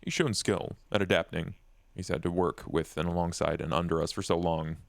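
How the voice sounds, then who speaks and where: A man roleplaying a cautious, formal vampire strategist in a tabletop game, choosing words deliberately. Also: low voice, steady tone, creaky, no room sound.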